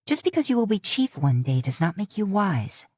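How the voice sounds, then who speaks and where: A woman voicing a headstrong young woman who speaks sharply and forcefully. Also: mid-range voice, big pitch swings, moderate pace, husky, dry recording, studio quality. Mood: angry.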